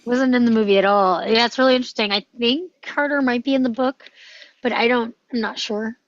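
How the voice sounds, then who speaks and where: A woman's voice: on the high side, a little fast, no echo. Dull-sounding recording of a chatty woman. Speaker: table read participant. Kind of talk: casual discussion.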